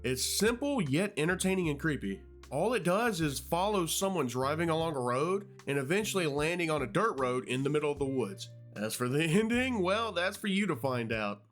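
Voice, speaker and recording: A man with a fairly high voice narrates a YouTube video essay. His delivery is conversational with a playful streak, and music plays faintly behind him. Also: lively intonation, normal speed, few pauses. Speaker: YouTube host.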